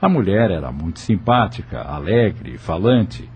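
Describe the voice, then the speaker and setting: A man's voice: sits low, very animated, measured pace, darkish tone, breathy edge. A man with a Brazilian accent narrating an audio novel from a script. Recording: faint music under the voice. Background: music.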